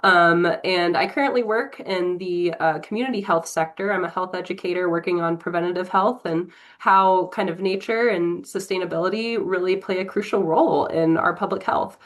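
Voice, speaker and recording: A woman's voice: fairly low pitch, moderate pace, few pauses. A young woman from Tennessee, congested from a cold, giving an upbeat, chatty introduction on a video call with many fillers. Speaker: prospective board member.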